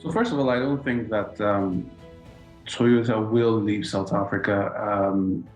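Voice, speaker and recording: A man speaking as a financial analyst, explaining economic effects in a measured way. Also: on the low side, steady tone, echoey room, lo-fi sound, faint music behind. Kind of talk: studio interview answers.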